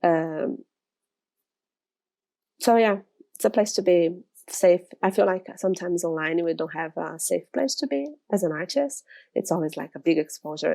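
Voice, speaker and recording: Brazilian woman, thirties, gravelly low voice, dark mellow tone, rambling casual chat. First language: Portuguese. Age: 30s. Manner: rambling, chatty, frequent restarts. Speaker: illustrator vlogger. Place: her studio.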